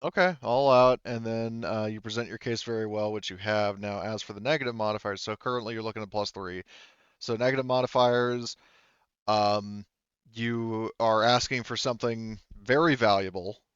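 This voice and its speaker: A man's voice: lowish voice, fairly even intonation, normal speed, fairly bright tone, clear, resonant tone, dry recording, muffled recording. A man talking casually as game master of a tabletop roleplaying game, thinking aloud as he explains rulings.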